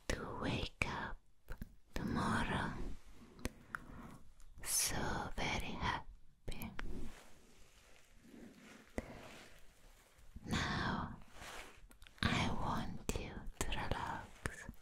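A woman voicing a sweet, doting vampire girl in an ASMR roleplay, soothing the listener toward sleep in soft, breathy whispers. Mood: tender, hushed. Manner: slow, tender, soothing, repeating words.

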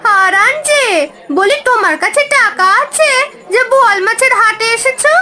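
A woman's voice: very high-pitched, moderate pace, bright, forceful tone. A woman playing a haughty, mocking village auntie in a folk-tale cartoon.

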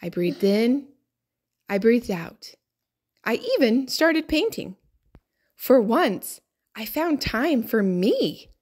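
An earnest little good egg from a storybook, voiced by a woman, eager to please. The pitch rises and dips freely, and words are stretched out slowly for emphasis. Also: breathy edge, full character voice. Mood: reflective. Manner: expressive, drawn-out emphasis. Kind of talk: children's picture book read-aloud.

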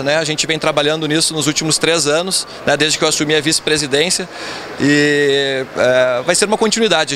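A man in his thirties, a company's incoming president, speaking in a measured way with many filler tags in an event interview. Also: a little high, fairly bright tone, slight background noise. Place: event stage backdrop, indoors. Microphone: handheld mic held by interviewer.

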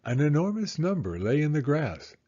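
A man reading a children's storybook aloud as narrator, with expressive, deliberate phrasing and slightly dull sound. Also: on the high side, slightly rough.

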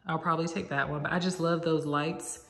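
Young southern gal, low voice, chatty home tour, echoey empty rooms. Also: female voice, fairly even intonation, fairly quick, slight background noise. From American South. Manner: chatty and enthusiastic, many "like"s. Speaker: vlogger giving a home tour. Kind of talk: casual walk-through narration. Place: empty house with bare rooms, indoors. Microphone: phone camera held while walking.

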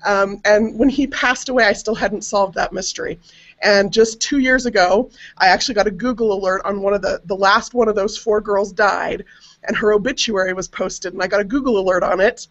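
A woman's voice: medium pitch, steady tone, fairly quick, a bit bright. A middle-aged American woman telling a personal family story casually as a panelist on a web video call. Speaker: panelist on a genealogy web show. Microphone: webcam video call. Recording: dull, compressed internet call audio.

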